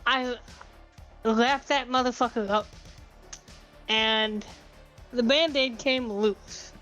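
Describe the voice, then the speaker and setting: Slow-talking woman with a dull lo-fi sound. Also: a little high, fairly even intonation, fairly bright tone, faint music behind. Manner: profane rambling storyteller. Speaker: podcast co-host. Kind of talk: casual chat.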